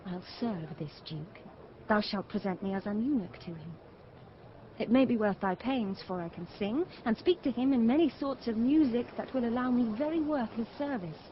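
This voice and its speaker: An actress playing an earnest, resolute young shipwrecked gentlewoman, speaking formal verse in a Shakespeare radio drama. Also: fairly high pitch, expressive, moderate pace, slight background noise, lightly acted. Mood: earnest resolve. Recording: muffled, faint ambience behind.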